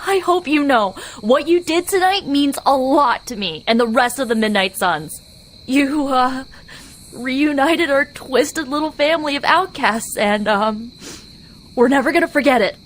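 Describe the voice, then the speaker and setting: A woman's voice: sits high, expressive, unhurried, a little hiss or hum. A woman playing an earnest, upbeat young heroine in a video game, speaking in a casual, heartfelt way. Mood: grateful, excited. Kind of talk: naturalistic video game acting.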